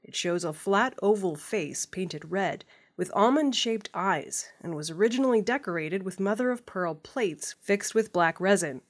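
A woman news host reading scripted stories in a measured, formal manner. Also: mid-range voice.

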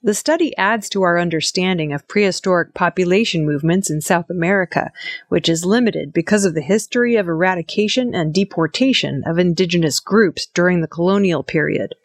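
A woman presenting scripted news in a measured, formal manner. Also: on the low side, no room sound.

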